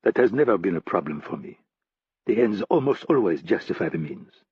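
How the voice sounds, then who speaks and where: Guy reading as smooth ruthless french spy boss, french accent, husky low voice. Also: sing-song, a little fast, no room sound, full character voice. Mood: cool. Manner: smooth and easy. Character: suave, ruthless French male spy chief. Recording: dull, lo-fi dry audiobook recording.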